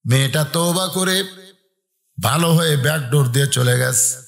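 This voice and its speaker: A man with a Bangladeshi Bengali accent preaches an Islamic sermon, telling stories in an impassioned, half-chanted, colloquial way with drawn-out words and acted-out dialogue. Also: fairly high pitch, gravelly, a bit bright. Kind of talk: waz sermon with dramatic storytelling, half-chanted. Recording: echoing public-address sound.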